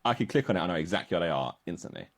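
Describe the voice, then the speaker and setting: A man chatting casually in a relaxed, slightly halting way. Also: sits low, gravelly. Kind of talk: casual chat.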